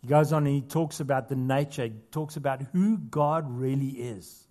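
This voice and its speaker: A man's voice: mid-range voice, normal speed, a little mellow. A man preaching a sermon as a pastor, earnest, building to emphatic points with casual asides in between. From not Australia.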